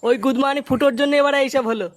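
A man voicing a crude, fretful young village club leader in a comic cartoon, with an exasperated, pitched-up voice. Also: fairly even intonation, very fast. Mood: concerned.

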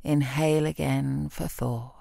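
A British woman guides a relaxation meditation in a strikingly deep voice. She is calm and soothing, with a soft, creaky edge. The recording is close and dry. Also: dry recording. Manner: calm, soothing, gently instructing with long pauses. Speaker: yoga nidra meditation guide.

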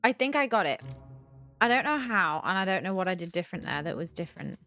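A woman's voice: lowish voice, sing-song, fast talker, pushed and bright, faint music behind. A young woman gives casual let's play commentary, thinking aloud and questioning herself in puzzlement. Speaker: gaming video host. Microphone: close desk mic with headset on.

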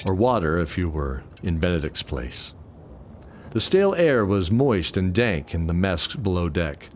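A man narrating a radio play in a deliberate, descriptive, dramatic storytelling style. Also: deep voice, sing-song, slight background noise. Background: music bed.

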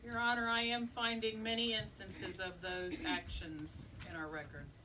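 An actress on a church stage playing a heavenly court assistant who formally calls names and reports records. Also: female voice, mid-range voice, little pitch movement, moderate pace, sharp tone, slight background noise, lightly acted. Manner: formal, announcing. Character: brisk female court assistant angel. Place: church stage set, indoors. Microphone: distant camera mic. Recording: distant stage voice.

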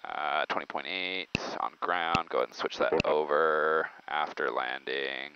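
A man flying solo and calmly talking through his landing and shutdown checklists in a small jet cockpit. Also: a bass voice, unhurried, highs cut off, a little hiss or hum. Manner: calm, matter-of-fact checklist callouts. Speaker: pilot. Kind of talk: solo flight vlog with checklist callouts.

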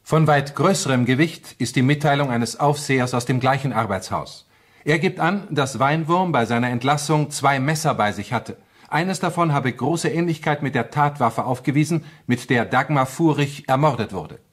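A man's voice: mid-range voice, normal speed. A man reading formal, factual narration from a scripted crime documentary.

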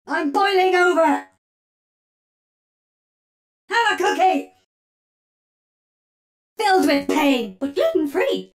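High, sassy robot oven mom. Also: female voice, sing-song, takes it slowly, long pauses, full character voice. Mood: gleefully menacing. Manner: theatrical, sassy, punning one-liners. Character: feisty robot oven mom who bakes and fights. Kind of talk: video game character voice lines.

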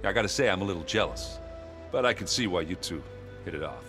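Very deep-voiced, good-hearted soldier man. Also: lively intonation, fast. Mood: jovial. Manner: hesitant, joking, blokey and sincere. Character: gruff, good-hearted, self-deprecating soldier man fond of ale. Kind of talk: video game dialogue acting. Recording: prominent music behind the voice.

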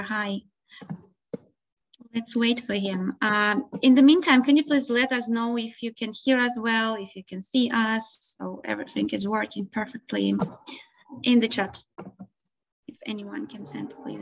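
A young woman moderating an online event over a video call, friendly and hesitant with many fillers. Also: a little high. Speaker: webinar moderator. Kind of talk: casual hosting. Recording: dull lo-fi call audio.

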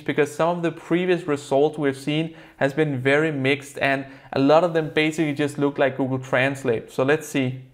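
A young man with a Danish accent, a native Danish speaker, earnestly explaining a software review to camera from a desk in a plain room. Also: on the high side. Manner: earnest, explanatory, slightly non-native phrasing.

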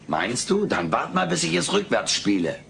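An actor playing a brash, scheming furry alien houseguest in a sitcom audio drama, glib and theatrical. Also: male voice, on the high side, sing-song, normal speed, vocal fry, heavily acted. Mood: triumphant. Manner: glib, theatrical, mock-innocent. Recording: noisy old cassette audio drama.

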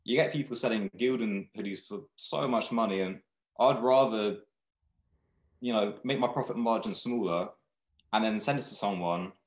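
A man's voice: on the low side, normal speed. Young man on an echoey video call. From Thailand, raised in England. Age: young adult. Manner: relaxed, rambling, frequent restarts. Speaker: clothing brand founder. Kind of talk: casual video-call interview. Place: small room indoors. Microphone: webcam video call. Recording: echoey room.

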